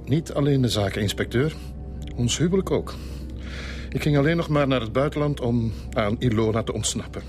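An actor playing a middle-aged Flemish brewery owner in a radio drama, expansive, frank and confiding. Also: male voice, medium pitch, a little fast, over music, close to an everyday voice. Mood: wistful, bitter. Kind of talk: Dutch-language radio drama acting.

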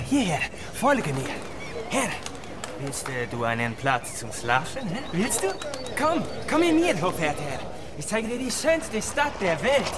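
High-voiced fawning Venetian street tout. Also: male voice, very noisy. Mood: eager, wheedling. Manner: obsequious, wheedling, hesitant with foreign words. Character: fawning, pushy Venetian street guide and pimp touting to travellers. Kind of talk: radio drama acting.

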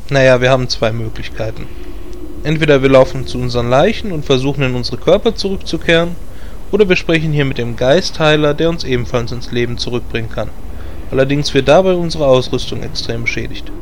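Guy as a know-it-all druid, mid-pitched, dry and sarcastic, fantasy spoof, slightly noisy. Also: brisk, close to an everyday voice. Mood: dry, explanatory. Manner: matter-of-fact, sarcastic. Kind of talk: parody fantasy audio drama acting.

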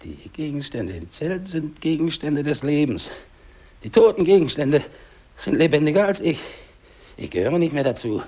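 An actor playing a dying, despairing English polar explorer alone, brooding and halting, in a 1950s German radio drama monologue. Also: male voice, on the high side, lively intonation. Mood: desperate, bitter. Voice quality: gravelly, husky. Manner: halting, brooding, repeats words, hushed to declamatory.

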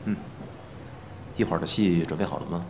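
A man's voice: deep voice, fairly even intonation, a little fast, background noise. A man playing a teasing, cocky, easygoing film actor in an audio drama, with a playful drawl. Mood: gossipy, amused. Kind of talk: Chinese audio drama acting.

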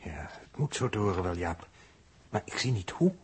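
Sceptical observer, Dutch accent, lowish husky voice, puzzled, vintage radio play. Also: male voice, big pitch swings, moderate pace, full character voice. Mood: doubtful, concerned. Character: doubtful, puzzled male fellow observer. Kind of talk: 1960s radio drama dialogue. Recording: rough 1960s radio recording.

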